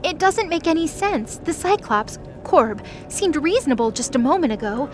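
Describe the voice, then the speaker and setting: A woman with a highish voice narrates a fantasy story from a script. Music plays clearly behind her words. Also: big pitch swings, normal speed.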